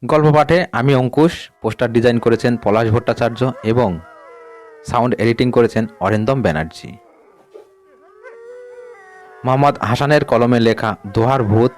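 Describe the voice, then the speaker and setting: A man narrating a scripted ghost story in a measured way, sinking lower and quieter at the grim moments.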